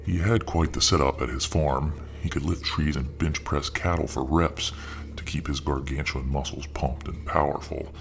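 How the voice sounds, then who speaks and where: Very deep-voiced man narrating softly. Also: fairly even intonation, gravelly. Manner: slow, soft, partly whispered delivery. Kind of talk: ASMR story narration.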